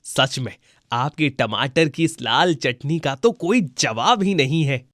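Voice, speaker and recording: A man's voice: mid-range voice, expressive, slow, a bit bright, dry recording, clean studio sound. A man playing an admiring, chatty food-stall customer in a children's story, speaking effusively.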